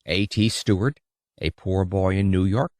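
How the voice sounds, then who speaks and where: A man's voice: low voice, fairly even intonation, a little slow, a little mellow, no room sound, studio quality. A man narrating an audiobook of an old-fashioned lecture in an emphatic, theatrical storytelling manner.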